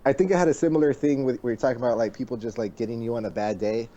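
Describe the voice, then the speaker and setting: Fast-talking man in casual chat. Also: mid-range voice, warm tone, lo-fi sound. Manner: fast, heavy use of "like", swearing. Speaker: talk show co-host, streamer.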